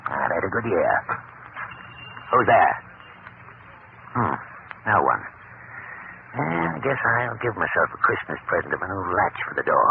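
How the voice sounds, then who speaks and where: Tinny, creaky old villain. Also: male voice, low voice, very animated, unhurried, long pauses, exaggerated voice. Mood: smug gloating. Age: older. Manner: snarling, gloating, blustering. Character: cruel, greedy, scheming old male business owner. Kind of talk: old-time radio drama acting. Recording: scratchy, tinny, noisy vintage radio recording.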